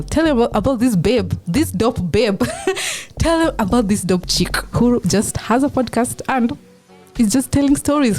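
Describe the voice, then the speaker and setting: A young Kenyan woman in her twenties with a loud, big voice, hosting her own podcast in bubbly casual chat and mixing in Swahili slang. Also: medium pitch. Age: 20s. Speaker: podcast host.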